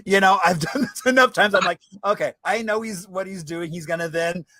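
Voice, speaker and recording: A man's voice: sits high, brisk, sharp tone, no room sound. A man hosting a casual virtual chat, easygoing and affirming, on a video call. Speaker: host.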